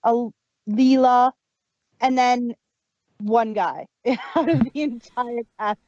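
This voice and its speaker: A woman's voice: on the high side, steady tone, slow, darkish tone, no room sound. A woman co-hosting a casual review podcast. She talks in a chatty way, with hesitations and frequent restarts. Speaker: podcast co-host. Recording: dull lo-fi dry home mic.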